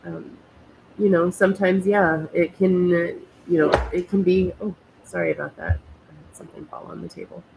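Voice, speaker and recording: Low, gravelly woman host with a cold. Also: steady tone, background noise. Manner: halting, lots of fillers and pauses. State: has a cold, snuffly. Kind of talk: casual chat.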